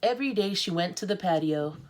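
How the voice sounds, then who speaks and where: American lady teacher reading a picture book to kids, chatty and encouraging, voice a bit on the low side. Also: expressive, fairly quick, a little mellow, slight background noise. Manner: informal, encouraging, addresses children directly. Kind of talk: storybook read-aloud with chatty asides.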